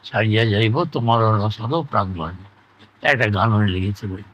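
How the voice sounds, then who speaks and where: An elderly man reminisces in casual conversation, reflective and halting, often repeating himself. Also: lowish voice, dull audio. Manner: halting, reflective, trailing into repetitions. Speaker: interview guest, retired engineer recalling life.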